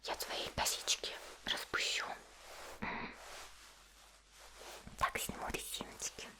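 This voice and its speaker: A woman playing a sweet, chatty young anime character in an ASMR roleplay, whispering softly and gently as she tends a friend's hair. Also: lightly acted. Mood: tender, doting. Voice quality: soft, breathy whisper. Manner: gentle, unhurried, cooing.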